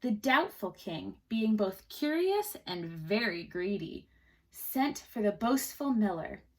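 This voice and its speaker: A woman teacher reading a storybook aloud to children, pausing to explain things simply and ask questions. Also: medium pitch, sing-song, poor audio.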